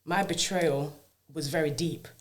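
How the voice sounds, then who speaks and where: A woman from Jamaica speaks as a podcast guest, her voice sitting fairly low. She tells her story earnestly and reflectively on a studio mic, often pausing to ask whether she is understood. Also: fairly even intonation. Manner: earnest and reflective, often asks "you know what I mean". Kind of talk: personal life story in a casual interview.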